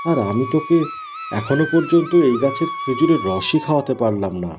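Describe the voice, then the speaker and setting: A man's voice: fairly high pitch, huge pitch swings, brisk, music in the background. A man playing an earnest, wistful village farmer in a fairy tale cartoon, with a plaintive way of talking. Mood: downcast, longing.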